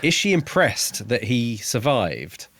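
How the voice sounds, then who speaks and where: A man's voice: mid-range voice, sing-song, takes it slowly, fairly bright tone, dry recording. A man co-hosting a podcast, discussing a book casually in a tentative, reflective and agreeable way. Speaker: podcast co-host.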